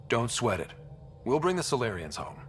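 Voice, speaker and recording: Easygoing young space explorer, lively intonation. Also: male voice, medium pitch, normal speed, soft background music. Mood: reassuring. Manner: casual, relaxed, friendly. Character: easygoing, reassuring young male human space explorer commander. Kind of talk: video game voice acting.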